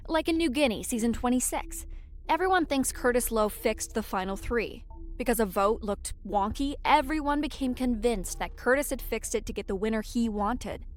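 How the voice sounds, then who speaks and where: An actress playing a curious, reasonable podcast co-host, inquisitive and explanatory in a natural conversational audio drama style. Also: female voice, a little high, moderate pace, soft background music, natural voice.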